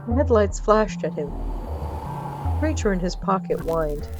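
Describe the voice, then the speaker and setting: A woman's voice: medium pitch, lively intonation, unhurried. A woman storyteller narrating a comic fantasy tale in a dramatic scripted style. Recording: background music under narration.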